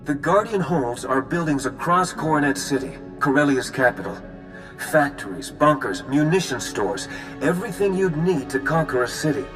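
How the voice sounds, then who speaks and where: An actor playing a grave, determined army commander briefing in a video game. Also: male voice, fairly high pitch, expressive, normal speed, natural voice. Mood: resolute. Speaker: military officer giving briefing. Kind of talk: video game cutscene acting. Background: music.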